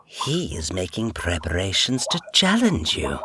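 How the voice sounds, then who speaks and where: Obedient henchman for fantasy audio drama, slow and formal, with a very deep voice. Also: huge pitch swings, heavily acted. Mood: deferential, grave. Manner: formal, deferential, deliberate. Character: obedient, sinister spy minion reporting to his master. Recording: noisy background bed.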